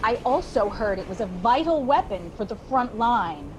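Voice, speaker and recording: Teenage girl as a high-voiced, anxious railway worker. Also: lively intonation, normal speed, a little mellow, natural voice. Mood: matey, wary. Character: anxious, matey railway co-worker of crane driver. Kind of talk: school radio play acting. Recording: clearly noisy background ambience.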